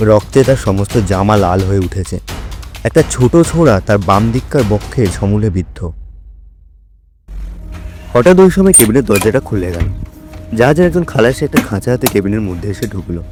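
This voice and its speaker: A man's voice: mid-range voice, warm tone. A man narrating a story for an audio drama in a scripted, dramatic storytelling style.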